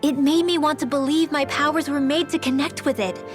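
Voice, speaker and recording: A woman's voice: high-pitched, steady tone, brisk, music in the background, close to an everyday voice. A woman voicing an earnest, open-hearted and slightly stubborn teenage girl mage in a video game cutscene. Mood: earnest, reflective.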